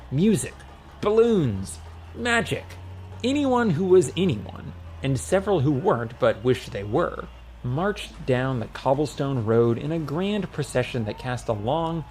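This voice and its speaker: A man's voice: medium pitch, animated, moderate pace, a little mellow, a little hiss or hum. A man narrating a comic fantasy audiobook in a wry, scripted storytelling style.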